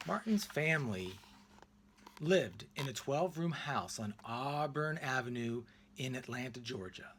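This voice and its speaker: A man reading a children's picture book aloud expressively, in deliberate phrases with emphatic pauses. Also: mid-range voice, lively intonation, a bit bright, a little hiss or hum. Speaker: read-aloud narrator.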